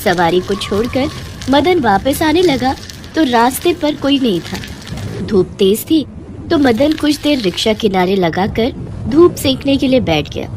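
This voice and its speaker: A woman's voice: on the high side, animated, a little slow, noisy background. A woman narrator reading a scripted children's moral story.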